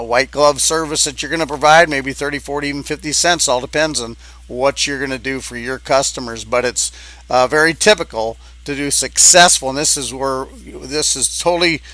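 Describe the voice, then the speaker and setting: A man giving an informal sales pitch as a business coach, talking in a halting, conversational way and checking in with the listener. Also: pushed and bright.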